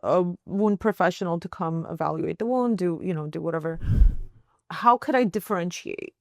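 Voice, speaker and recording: A woman with a slightly low voice hosts an interview. She talks in a loose, chatty way, full of casual fillers. The sound is dry and close. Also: normal speed, no room sound. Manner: casual, many likes and laughs. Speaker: podcast host.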